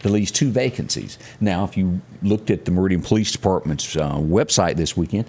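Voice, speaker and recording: A man hosting a live local news talk show, speaking in a halting, folksy way and repeating phrases for emphasis. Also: sits low, darkish tone. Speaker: morning show host.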